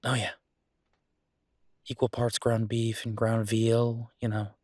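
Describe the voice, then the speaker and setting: A man playing an affectionate, playfully grumbling young werewolf chef in an intimate, casual boyfriend roleplay audio, chatty and halting. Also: mid-range voice, completely flat delivery, darkish tone, dry recording, studio quality. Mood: fond, enthusiastic.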